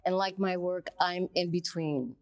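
Low-voiced earnest middle-aged woman. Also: a little slow. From Colombia, raised in the United States. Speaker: panel guest artist. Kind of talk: panel conversation.

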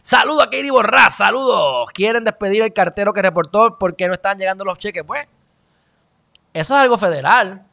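A man in his thirties with a Puerto Rican accent, hosting a live stream and reading viewer comments close to a studio mic, animated and shifting between loud and quiet. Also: high voice, fairly quick, vocal fry. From Puerto Rico. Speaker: host. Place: small indoor studio. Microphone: studio mic on stand, close.

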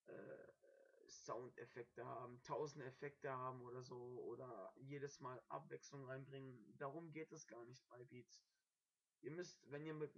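A young man, hoarse from a cold, rants in a flat, monotone way full of fillers. He talks into a cheap webcam mic in a small room at home, and the sound is dull and lo-fi. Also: mid-range voice. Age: young adult. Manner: rambling, annoyed rant with many fillers. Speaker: beat producer. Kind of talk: casual webcam vlog. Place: small dim room indoors. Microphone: webcam microphone, quiet at first.

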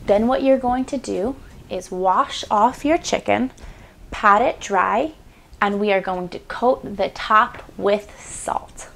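A woman's voice: mid-range voice, takes it slowly, vocal fry, fairly bright tone, a little hiss or hum. A woman in her twenties hosting a home cooking demonstration in a small kitchen, chatty and upbeat with playful asides, on a lapel mic. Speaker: cooking show host.

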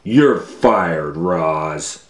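A man's voice: low voice, lively intonation, very slow, exaggerated voice. A man voicing an angry school boss in a storybook, scolding in a stern, emphatic way. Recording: roomy, echoey indoor sound.

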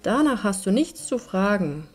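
A woman's voice: medium pitch, very animated, unhurried, a little mellow. Woman reading as a bold young huntsman over music. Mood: defiant. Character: bold, defiant young huntsman boy, fearless. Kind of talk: fairy-tale audiobook character reading.

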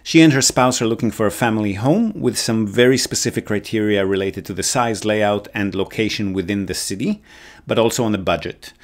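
A man hosting a podcast as a real estate consultant, explaining casually with frequent pauses and ums over a call. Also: on the low side.

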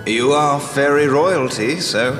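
An actor voicing a sly, suave talking frog who was once human, in a teasing, measured video game performance. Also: male voice, mid-range voice, natural voice. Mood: sly, matter-of-fact. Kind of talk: scripted video game voice acting. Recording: roomy hall reverb, music underneath.

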